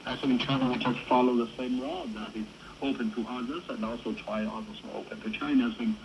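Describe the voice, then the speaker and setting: A man giving political commentary as a panel guest, with halting, non-native English phrasing, heard over shortwave radio. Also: mid-range voice, fairly even intonation, muffled recording. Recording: shortwave radio with heavy static. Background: shortwave radio noise.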